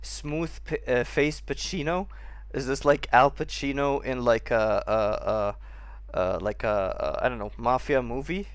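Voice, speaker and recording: Slow-spoken, amused game streamer, medium pitch. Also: no room sound. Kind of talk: casual playthrough commentary.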